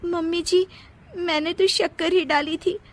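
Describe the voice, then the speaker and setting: A woman's voice: very high-pitched, steady tone, a little slow. A woman voicing a lazy, cheeky young daughter-in-law in a cartoon family story, whiny and nonchalant. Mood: reluctant.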